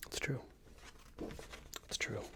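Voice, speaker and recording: A man playing a smarmy, passive-aggressive company boss in a soft-spoken ASMR roleplay, hesitant and condescending, often half-whispered. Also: slow. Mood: awkward, passive-aggressive. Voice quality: breathy, half-whispered, creaky. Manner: hesitant, hedging, condescending, rambling corporate small talk.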